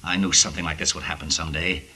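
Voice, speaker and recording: An actor playing a cold, cornered wife-murderer in a 1940s radio noir, speaking in a terse, grim, measured way. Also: male voice, low-pitched, flat delivery, bright, forceful tone, a little hiss or hum. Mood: cold menace.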